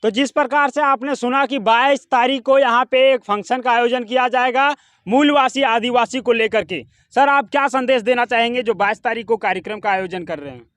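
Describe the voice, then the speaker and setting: A man's voice: very high voice, brisk, a bit bright, clean tone, no echo. A man, reporting and interviewing on location as a field news reporter. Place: outdoor public ground.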